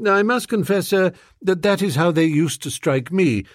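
A man voicing a discreet, deferential English valet in an audiobook, formal, measured and deadpan. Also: sits high, dry recording, clean studio sound, pitched above the performer's own voice, close to an everyday voice. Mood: dryly informative.

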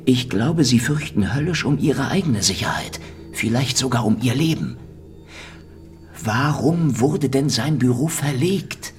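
An actor playing a hardboiled, cynical Soviet secret-police detective, talking in a dry, sardonic, probing way. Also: male voice, medium pitch, lively intonation, a little slow, faint music behind, lightly acted. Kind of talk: radio drama acting.

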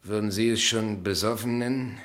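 A man acting in a German radio play as an earnest, awkward gentleman, hesitant and stiffly polite. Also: fairly low pitch, fairly even intonation, normal speed, natural voice. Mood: groggy, embarrassed.

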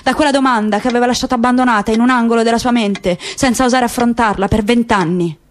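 A woman narrating a literary work on the radio in a measured, expressive way. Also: on the high side, slight background noise. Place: radio studio.

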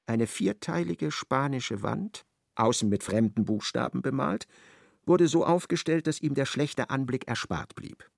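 A man narrating a radio play in an ironic, expressive storytelling manner. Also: mid-range voice, measured pace, vocal fry, dry recording, studio quality.

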